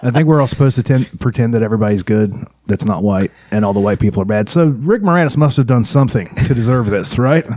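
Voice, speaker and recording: A man's voice: medium pitch, fast, hardly any pauses, mellow, dry recording. A man co-hosting a casual podcast, chatting loosely and laughing often at his own jokes. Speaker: podcast co-host.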